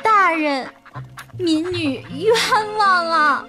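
Very high-pitched, melodramatic young maiden. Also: female voice, expressive, extremely slow, music in the background, heavily acted. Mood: wailing. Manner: theatrical, outspoken. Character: melodramatic, cheeky young maiden pleading her case. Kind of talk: comedic radio drama acting.

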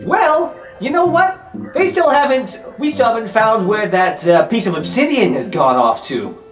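A man's voice: extremely high pitch, sing-song, moderate pace, roomy sound, soft background music, pitched above the performer's own voice. A man voicing an agitated, scolding government agent with a blustering, argumentative manner in a tabletop game. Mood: flustered, annoyed.

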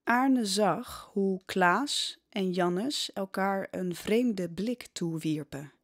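A woman's voice: mid-range voice, drawn-out words. Female narrator for historical audio drama: mid-range voice, dramatic scripted storytelling.

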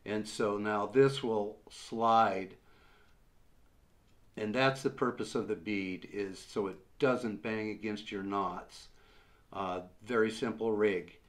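An older man in his sixties, slowly and patiently explaining a fishing rig step by step, seated at a table in a small room. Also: fairly low pitch, fairly even intonation. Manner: slow, patient step-by-step explaining with many uhs. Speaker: fishing instructor. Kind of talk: how-to demonstration. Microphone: camera in front at desk distance.

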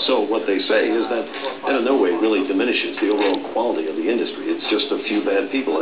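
A middle-aged American man reporting as a television news correspondent. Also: lowish voice. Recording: dull roomy TV sound filmed off screen, noisy.

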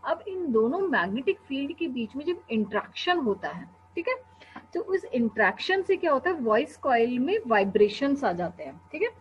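A young woman with an Indian accent teaches in an explanatory lecture style, pausing on little check words. Her voice sits a bit high. The recording is dull and lo-fi, with a faint hum behind her. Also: sing-song. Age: young adult. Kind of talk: explanatory classroom lecture mixing Hindi and English.

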